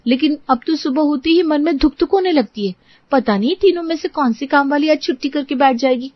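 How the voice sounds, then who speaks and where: Woman as young daughter-in-law, high voice, earnest, fretful, storybook drama, slightly dull lo-fi sound. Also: dry recording, close to an everyday voice. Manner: earnest, complaining.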